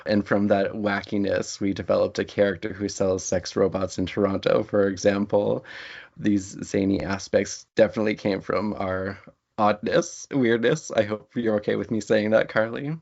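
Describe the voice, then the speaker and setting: A man's voice: lowish voice, fairly even intonation, normal speed, gravelly, darkish tone. A man talking casually as a writer being interviewed. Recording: dull, band-limited audio.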